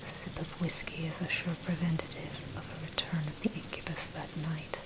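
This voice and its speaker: A woman reading a scripted article in a breathy, unvoiced ASMR whisper, with measured, articulate, bookish delivery. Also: low-pitched. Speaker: narrator reading own dark history article. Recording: steady noise, rain and thunder behind. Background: rain and thunder.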